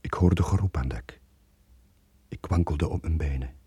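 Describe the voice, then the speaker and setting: Very deep, husky haunted sailor. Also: male voice, measured pace, warm tone, no echo, full character voice. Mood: sombre. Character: haunted, guilt-ridden veteran sailor, middle-aged. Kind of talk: dramatic radio play monologue.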